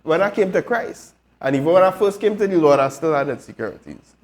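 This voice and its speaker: A man chats on a live talk show in a relaxed storytelling way, laughing easily and speaking earnestly about faith. His voice sits slightly high. A faint hiss runs under the recording. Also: quick pace. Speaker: show regular sharing testimony.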